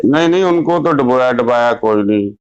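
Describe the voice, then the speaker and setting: A man who owes money, calmly giving joking, unbothered excuses over a phone call. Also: mid-range voice, fairly quick, smooth. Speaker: borrower. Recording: muffled phone call recording.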